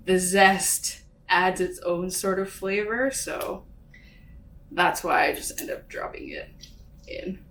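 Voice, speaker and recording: A woman's voice: mid-range voice, a bit bright. Chatty woman cooking and talking. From Canada. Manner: chatty, laughs often, many fillers. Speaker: author and vlogger. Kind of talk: casual chat while cooking.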